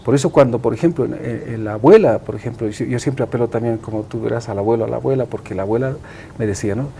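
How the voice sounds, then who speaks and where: A man's voice: medium pitch, fast, vocal fry, dark tone, slight background noise. A man, an Aymara speaker, explaining things emphatically and didactically as an interview guest. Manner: emphatic, didactic, repeats and seeks agreement.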